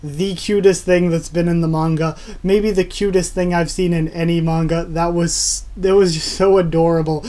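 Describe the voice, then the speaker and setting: A man's voice: fairly high pitch, flat delivery, moderate pace, few pauses, dark tone. Young man, twenties, nasal from a cold; eager rambling webcam reviewer in a small home room. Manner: enthusiastic, rambling with many fillers. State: stuffed-up nose, nasal. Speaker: manga reviewer. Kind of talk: casual video review.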